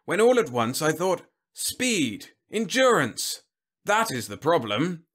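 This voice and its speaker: A man's voice: fairly high pitch, sing-song, takes it slowly, a bit bright, pitched above the performer's own voice, full character voice. A man voicing a big, overconfident, bullying army general in an audiobook story, speaking in an emphatic, blustering, snapping way. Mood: confident, forceful. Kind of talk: audiobook character acting.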